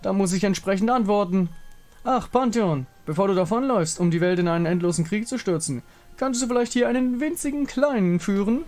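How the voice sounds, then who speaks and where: A man's voice: sits high, brisk, darkish tone, soft background music, pitched above the performer's own voice, close to an everyday voice. A man voicing a vain, lovesick villain king, theatrical and condescending. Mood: mocking.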